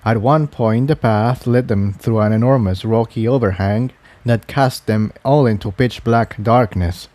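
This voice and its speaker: A man's voice: fairly low pitch, a little slow, darkish tone, dry recording. A man reading a scripted adventure story aloud as its narrator. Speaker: fan fiction narrator.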